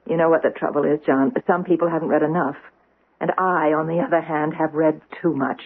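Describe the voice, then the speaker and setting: An actress playing a sharp-witted, bookish older American woman in a radio drama, speaking precisely with wry emphasis. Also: sits low, steady tone, brisk, phone-quality sound. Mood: dryly wry. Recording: thin band-limited old radio recording.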